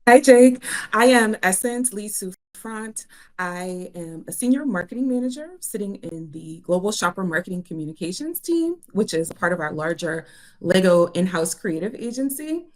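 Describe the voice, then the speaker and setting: Measured woman from New Orleans. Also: medium pitch, expressive. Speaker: marketing manager.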